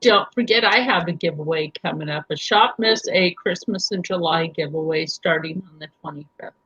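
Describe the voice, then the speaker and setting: A woman's voice: deep voice, moderate pace, fairly bright tone, slightly rough, muffled recording. A woman hosting a live online chat show, talking casually and laughing often.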